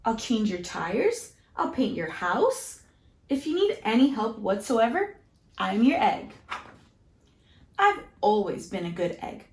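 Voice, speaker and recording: A woman voicing an earnest, dutiful, anxious egg telling its own story in an expressive storybook read-aloud. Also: on the high side, takes it slowly, reverberant, exaggerated voice.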